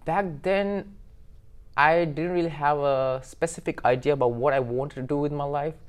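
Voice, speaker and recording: A man's voice: a little high, normal speed, smooth. A man, answering interview questions earnestly and agreeing often. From Sri Lanka. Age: 20s. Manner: earnest, agreeable, with many short yeses and some hesitant ums. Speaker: interview guest.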